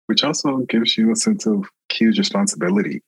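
Brief: man from Florida, recruiter, husky low voice, dry close sound, earnest and thoughtful. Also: a little fast, a bit bright, no echo, poor audio. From Florida, USA. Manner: reflective, earnest, many ums. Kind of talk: casual interview talk.

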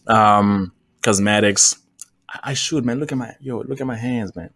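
A man's voice: on the low side, normal speed, fairly bright tone, breathy edge. A man talking as a podcast guest in a laid-back, loose and joking way. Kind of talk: casual conversation.

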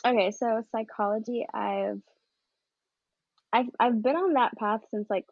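A woman's voice: on the high side, steady tone, measured pace, a crowd in the background. A nineteen-year-old woman, a college student, eagerly explaining herself as a podcast guest. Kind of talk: casual podcast chat. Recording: slightly dull, dry sound.

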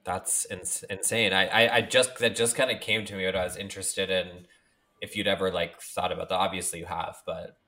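A man's voice: sits low, fairly even intonation, fairly quick, sharp tone. A man co-hosting a podcast, talking in a casual, playful way with many fillers. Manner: chatty, filler-heavy, playful. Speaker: podcast co-host. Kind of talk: casual chat.

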